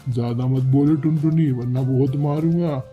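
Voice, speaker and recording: A man's voice: medium pitch, steady tone, moderate pace, dark tone, muffled recording, faint music behind, natural voice. A man voicing a rude, quarrelsome young male crow in a kids' cartoon, surly and threatening, recorded dully. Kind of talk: Hindi kids' cartoon character voice.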